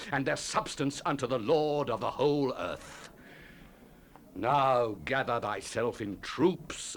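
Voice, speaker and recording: A man's voice: mid-range voice, fairly bright tone. A man giving a solemn, declamatory dramatized scripture reading as a Bible narrator, in the manner of a 1970s audio Bible. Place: studio recording. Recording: noisy 1970s cassette tape transfer.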